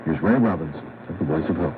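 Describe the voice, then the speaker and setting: Male radio show host, animated announcer read, heard through a tinny shortwave set. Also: very deep voice, quick pace, noisy background. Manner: announcer delivery. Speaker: program host. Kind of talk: scripted radio presentation.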